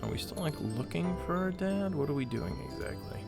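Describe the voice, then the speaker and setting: A man's voice: on the high side, animated, a little fast, vocal fry, over loud music. A man casually commentating a video game as he plays, thinking aloud and restarting his sentences. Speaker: gameplay commentator. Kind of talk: casual let's play commentary.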